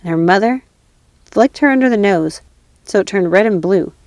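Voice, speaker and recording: Woman, storybook narrator, medium pitch, scripted fairy-tale reading, dry close recording. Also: lively intonation, moderate pace, a little mellow, no echo. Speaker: storybook reader.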